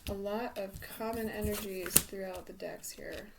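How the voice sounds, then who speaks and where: A woman talks through a tarot reading in a relaxed, unhurried way, filling pauses with ums. Her voice is failing her, so she sounds a little strained and hoarse. A steady noise sits under the recording. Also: medium pitch. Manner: relaxed, many ums, repeats words. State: losing her voice, coughing. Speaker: tarot reader. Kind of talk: casual tarot reading to camera.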